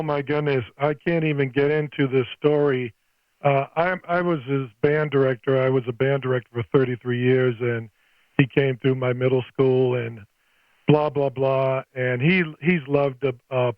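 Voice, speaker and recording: A man's voice: mid-range voice, dry recording, muffled recording. An older man chatting casually as an amateur radio operator, folksy and scattered, thinking aloud as he talks. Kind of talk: casual two-way radio chat.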